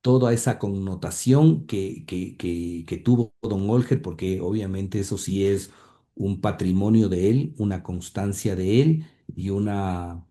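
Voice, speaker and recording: A man with an Ecuadorian accent is reminiscing in an interview. His voice sits a little low and is very mellow and dark. He speaks haltingly, often repeating small words as he searches his memory. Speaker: interview guest.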